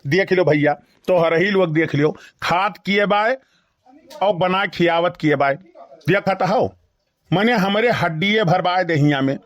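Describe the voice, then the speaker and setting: A man's voice: sits high, measured pace, dull audio. A man voicing an exasperated, skinny henpecked village husband in a comedy cartoon, grumbling repetitively with an Awadhi accent. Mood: aggrieved complaining. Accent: Awadhi, eastern Uttar Pradesh. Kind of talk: rustic comedy cartoon dialogue.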